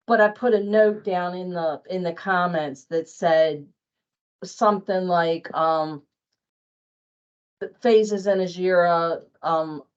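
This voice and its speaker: A woman talking casually in a work meeting, thinking aloud hesitantly and repeating herself as she goes. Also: lowish voice. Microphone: online meeting call. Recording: dull, lo-fi online meeting audio.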